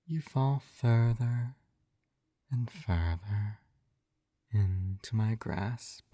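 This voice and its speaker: A man's voice: lowish voice, dark tone, no echo, studio quality. A man playing a possessive, doting hypnotist captor in an intimate ASMR roleplay, coaxing and soothing, often slipping into a whisper. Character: possessive, doting male yandere hypnotist captor, gently controlling.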